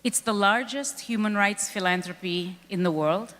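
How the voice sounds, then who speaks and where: A woman's voice: mid-range voice, normal speed, fairly bright tone. A woman from Kenya speaking earnestly on a panel, pressing her points and repeating them for emphasis. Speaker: panel speaker, foundation president. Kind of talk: speech at a panel event. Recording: long reverb of a large hall.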